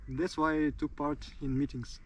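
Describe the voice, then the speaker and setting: A man's voice: on the high side, little pitch movement, moderate pace, recorded from a distance, a little hiss or hum, rough recording. A man in his twenties with a Russian accent, answering interview questions in hesitant English and searching for his words. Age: 20s. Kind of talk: casual interview outdoors.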